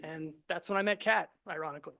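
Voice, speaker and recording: Middle-aged man, somewhat high voice, soft-spoken, relaxed, live event talk. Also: fast, vocal fry. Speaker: cannabis grower and presenter. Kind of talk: casual talk to a live audience. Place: event venue with live audience. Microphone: handheld microphone.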